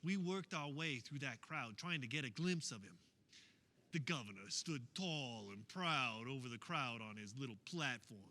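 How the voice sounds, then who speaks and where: An actor playing an anguished male eyewitness disciple of Jesus in a radio theater monologue, telling his story in an emotional, halting way. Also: mid-range voice, animated, sharp tone. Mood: troubled, recounting. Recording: faint background noise.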